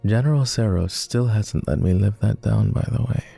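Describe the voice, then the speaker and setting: A man playing a doting, refined vampire gentleman in a romantic roleplay ASMR, with formal, courtly and gently teasing speech. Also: fairly low pitch, normal speed, gravelly, husky, soft background music, exaggerated voice. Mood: teasing, affectionate.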